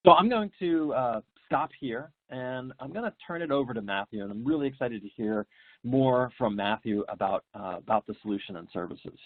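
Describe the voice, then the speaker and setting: A man's voice: mid-range voice, lively intonation, moderate pace, no room sound. A man hosting a webinar and presenting a service, speaking in an upbeat manner. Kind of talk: product presentation. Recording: dry, tinny online call audio.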